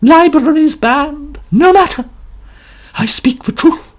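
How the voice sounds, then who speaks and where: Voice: sits high, slow pace, voice pushed up. A narrator voicing a pompous, melodramatic older woman novelist who talks in a complaining way. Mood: gushing.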